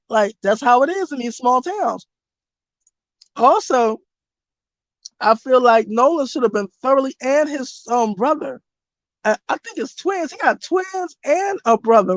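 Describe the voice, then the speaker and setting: A woman running a casual livestream as a spiritual reader, blunt and profane, with a voice that is going hoarse. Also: fairly high pitch, no room sound. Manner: blunt, profane and animated, talks to her chat. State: losing her voice.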